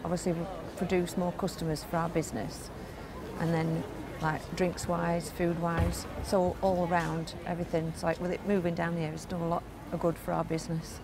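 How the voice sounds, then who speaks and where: A woman's voice: fairly low pitch, little pitch movement, normal speed. A woman who works in a local business, giving a casual vox pop answer. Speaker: shop or café worker interviewee. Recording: noisy street with traffic.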